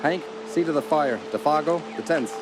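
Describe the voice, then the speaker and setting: A man playing a brisk, authoritative doctor who leads a hunting party in a radio drama. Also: fairly high pitch, close to an everyday voice. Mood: commanding. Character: commanding Scottish doctor leading a hunting party. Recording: loud music and outdoor sounds behind.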